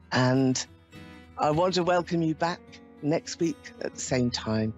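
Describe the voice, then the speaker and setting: A woman psychic host leading a slow, gentle crystal-ball ritual for her viewers. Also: very deep voice. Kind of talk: soothing guided ritual talk. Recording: dull lo-fi sound with faint music.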